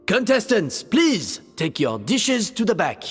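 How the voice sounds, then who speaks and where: A man voicing a fussy, flamboyant cooking contest judge in a video game, making theatrical, drawn-out pronouncements. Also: sits high, big pitch swings, breathy edge, full character voice. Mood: grandly announcing. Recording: long reverb, faint music behind.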